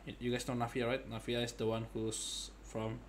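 A man's voice: mid-range voice, level tone, moderate pace, a little hiss or hum. A young man giving casual, jokey playthrough commentary, often hesitating and repeating himself. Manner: hesitant, repetitive, jokey asides. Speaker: game streamer. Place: indoor desk, game music behind.

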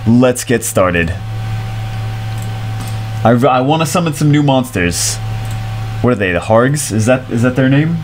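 A man in his thirties with a congested, stuffy voice, chatting casually and excitably as a gaming streamer while he rambles and repeats himself, recorded on a headset in a small room at home. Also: medium pitch, a little slow, long pauses, a little hiss or hum, low-quality recording.

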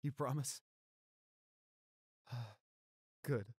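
Man acting as devoted boyfriend, soft halting delivery, slow pace, mid pitch, dry close sound, intimate audio roleplay. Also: breathy edge, no room sound. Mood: tender, pleading. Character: gentle, devoted, weakened boyfriend of a vampire.

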